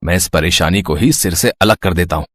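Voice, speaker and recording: Man playing a low-voiced, naive young barber. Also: brisk, a bit bright, a little hiss or hum. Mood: confident. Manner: boastful, earnest. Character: foolish, overconfident young barber's son, eager and naive. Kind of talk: Hindi animated moral story dub.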